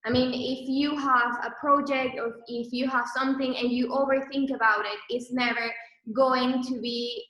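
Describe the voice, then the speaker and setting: A woman in her twenties with a Venezuelan accent, giving an online talk in halting English with many restarts and fillers. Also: high voice, steady tone, fairly bright tone, echoey room, rough recording. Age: 20s. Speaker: guest speaker, brand co-founder. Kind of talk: online presentation.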